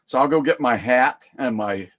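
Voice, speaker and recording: A man's voice: mid-range voice, moderate pace, a bit bright, a little hiss or hum. An older man with a Southern US accent, a former college teacher chatting casually and laughing easily. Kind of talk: casual video call chat.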